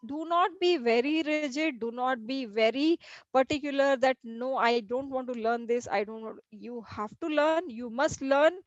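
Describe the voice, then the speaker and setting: High-voiced Indian woman teacher, lecturing. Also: moderate pace, dry recording. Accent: Indian English. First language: Hindi. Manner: explanatory, repeats and restarts phrases. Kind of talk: classroom lecture. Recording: dull lo-fi dry recording.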